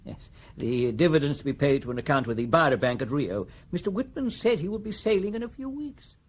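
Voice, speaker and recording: An actor playing a stuffy, cautious stockbroker who speaks formally and hesitantly in a radio drama. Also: male voice, fairly high pitch, big pitch swings, fairly quick. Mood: hesitant, cooperative. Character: stuffy, cautious male City stockbroker, fussily discreet. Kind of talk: BBC radio drama acting.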